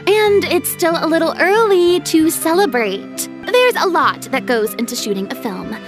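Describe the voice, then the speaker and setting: High, swooping haughty young diva. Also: female voice, animated, moderate pace, music in the background. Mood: businesslike, pensive. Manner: haughty, dramatic, self-important. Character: proud theatrical young female star turned film director. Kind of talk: video game voice acting.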